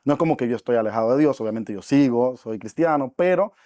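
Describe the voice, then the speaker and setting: A man's voice: medium pitch, lively intonation, quick pace, warm tone, dry recording, studio quality. A man, a podcast guest talking earnestly and reflectively about his life, with frequent fillers. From Dominican Republic. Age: 20s. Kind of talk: casual interview talk.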